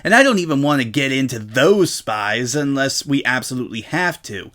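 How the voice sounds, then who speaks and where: A man's voice: mid-range voice, expressive, moderate pace, fairly bright tone, lightly acted. A man voicing a brash female pegasus pony who rambles on with feigned cluelessness. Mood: eagerly obtuse.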